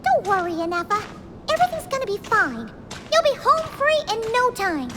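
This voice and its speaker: A woman voicing a tiny, excitable fairy companion in an animated game, childlike and eager. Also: extremely high pitch, moderate pace, noisy background. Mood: reassuring. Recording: music under the voice. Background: game music.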